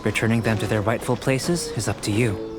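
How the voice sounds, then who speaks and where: A man voicing a calm, detached young alchemist in a video game, speaking in a measured, formal, scholarly way. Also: lowish voice, natural voice. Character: calm, detached young male alchemist researcher, coolly curious. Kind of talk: scripted video game voice acting. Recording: music behind the voice.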